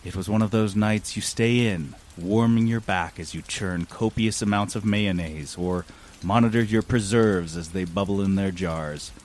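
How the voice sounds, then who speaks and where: A man's voice: on the low side, normal speed, a little mellow. A man narrating a scripted radio play in a mock-serious storytelling manner. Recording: clear rain noise behind. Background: falling rain.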